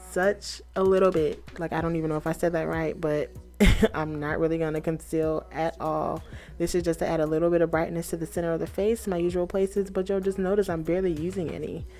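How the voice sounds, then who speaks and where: A woman's voice: deep voice, level tone, brisk, a little mellow, faint music behind. A woman beauty vlogger giving a casual, upbeat makeup tutorial, chatting straight to her viewers.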